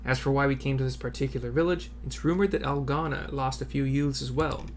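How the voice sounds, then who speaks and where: A man voicing a sober, cautious resistance soldier in a fantasy game, in a measured, grave manner. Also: medium pitch, steady tone, slight background noise, lightly acted.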